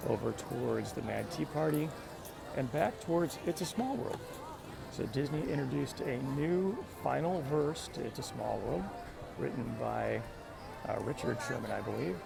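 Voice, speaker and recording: A friendly man with a gravelly voice hosts a casual livestream while walking outdoors, commenting and greeting viewers by name. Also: a little high. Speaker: livestream host. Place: outdoors in a busy theme park. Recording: outdoor park with loud background music. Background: background music.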